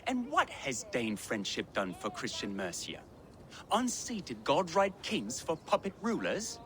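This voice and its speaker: Sardonic, pious young man, animated. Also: fairly high pitch, a little slow, a little hiss or hum, exaggerated voice. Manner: sardonic, sanctimonious. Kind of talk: video game cutscene acting.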